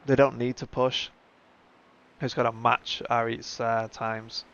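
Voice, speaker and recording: A man's voice: mid-range voice, little pitch movement, slow pace, creaky, fairly bright tone. A man hosting a live sim racing stream with casual, conversational commentary, thinking aloud as he goes. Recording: muffled lo-fi audio with background noise.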